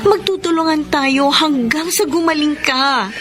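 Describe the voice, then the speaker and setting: A woman's voice: sits high, measured pace. A woman acting a devoted wife caring for her sick husband in a radio drama, earnest and emotional, over dramatic music. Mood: pleading. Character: devoted wife of a sick man, adult, steadfast.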